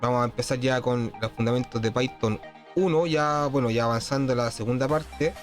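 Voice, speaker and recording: A man's voice: mid-range voice, normal speed, faint music behind. A man, slightly congested with a cold, casually walking through quiz answers in a programming tutorial and peppering his talk with "¿cierto?". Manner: keeps asking "¿cierto?", many fillers and restarts. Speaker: programming course instructor.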